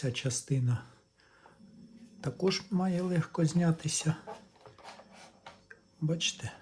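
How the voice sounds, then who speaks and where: Mellow-voiced man explaining hands-on repair. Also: fairly high pitch, a little hiss or hum. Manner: thinking aloud, unhurried step-by-step explaining. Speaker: hobbyist electronics repairer presenting a video. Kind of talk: casual hands-on repair walkthrough.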